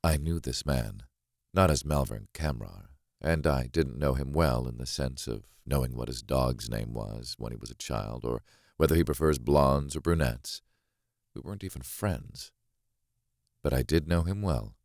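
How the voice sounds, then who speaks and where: A man with an extremely low voice reads a thriller audiobook. His delivery is deliberate, brooding and dramatic, and the sound is dry and close. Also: vocal fry, darkish tone, no echo. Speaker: audiobook narrator. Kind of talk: first-person dramatic scripted narration of a thriller.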